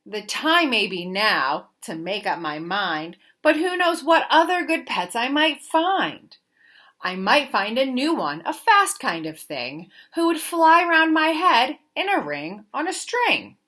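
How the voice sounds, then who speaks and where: A woman reading a children's picture book aloud like a teacher, with expressive, deliberate, sing-song emphasis. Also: sits high. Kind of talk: animated children's storybook read-aloud.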